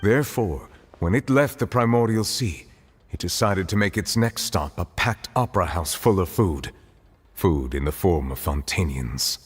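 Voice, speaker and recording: An actor playing a grave, dignified judge in a video game, speaking formally and with measured calm. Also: male voice, low-pitched, husky. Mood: solemn. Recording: long hall reverb, faint noise.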